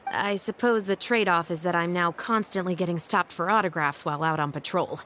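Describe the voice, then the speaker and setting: A woman playing a composed, dutiful female patrol captain in a video game, speaking in a measured, dryly wry way. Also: fairly low pitch, a bit bright, a little hiss or hum, lightly acted. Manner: measured, dry, articulate.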